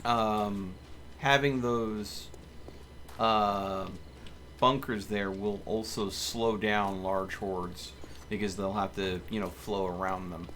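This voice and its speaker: A man's voice: on the low side, steady tone, slow pace, a bit bright. A man hosting a multiplayer game stream with casual live commentary. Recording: faint background noise.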